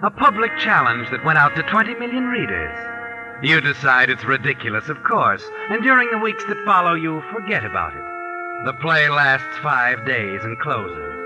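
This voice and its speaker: A man's voice: fairly high pitch, big pitch swings, normal speed, low-quality recording. A man playing a knowing, sardonic mystery storyteller, narrating dramatically in 1940s radio style. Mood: knowing, ominous. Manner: sardonic, dramatic second-person telling. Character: knowing, ominous male mystery storyteller taunting the culprit. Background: music.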